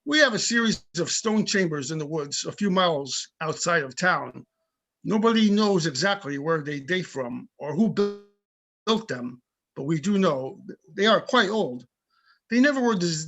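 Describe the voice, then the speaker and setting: Man to play small-town older police chief for a play reading: tough but friendly, opinionated, husky and high for a man. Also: animated, moderate pace, fairly bright tone. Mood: matter-of-fact. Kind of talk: amateur readers theater reading over videoconference.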